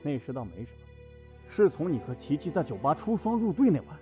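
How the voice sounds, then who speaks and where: A man's voice: on the high side, big pitch swings, fairly quick, darkish tone. A man playing a cold, proud company boss in an audio drama, cutting and defiant with an ex-lover. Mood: bitter, cutting. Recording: music bed under the voice.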